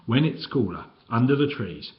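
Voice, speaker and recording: A man's voice: mid-range voice, expressive, normal speed, muffled recording, close to an everyday voice. A man doing a guttural huge old lady wrestler. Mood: hearty.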